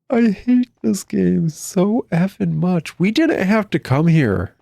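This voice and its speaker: A man's voice: sits high, very animated, slow, vocal fry, warm tone, no echo, studio quality. A man giving a casual, blunt, sarcastic and exasperated critique while commenting on a video game. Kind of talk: casual game critique commentary, reading cutscene dialogue aloud.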